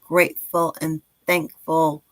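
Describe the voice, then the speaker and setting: A woman's voice: low-pitched, little pitch movement, slow, fairly bright tone, no echo. A woman in her forties chatting casually on a livestream as host, speaking directly to listeners in an encouraging, reassuring way. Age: 40s.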